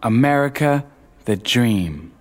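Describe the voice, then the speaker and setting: An actor playing a solemn Harlem Renaissance poet, formally addressing a gathering and reciting verse. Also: male voice, medium pitch, expressive, slow pace.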